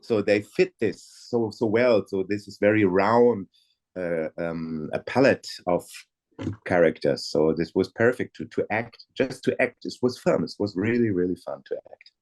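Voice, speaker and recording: An older man with a fairly low voice and a lively, hesitant way of talking. He chats casually in an interview and stumbles through repeated words as he searches for what to say. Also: normal speed. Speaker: audiobook narrator.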